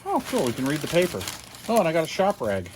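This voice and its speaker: A man's voice: on the high side, wildly swooping pitch, quick pace, background noise. Rambling older American man, casual and folksy. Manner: rambling, folksy, self-deprecating. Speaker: hobbyist video host. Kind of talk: casual unboxing chat.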